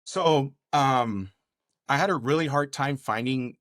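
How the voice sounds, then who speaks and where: A man's voice: mid-range voice, a little slow, a bit bright, dry recording, studio quality. A man from California who works as a chef, talking casually and in detail as a podcast guest, reminiscing in a conversational way. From Bakersfield, California. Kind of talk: casual interview storytelling.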